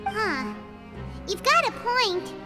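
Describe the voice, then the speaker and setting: A woman voicing a tiny, excitable female fairy companion in a video game, with a squeaky, bubbly voice. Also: slow, exaggerated voice. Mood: curious. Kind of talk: game voice acting. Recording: game audio under music.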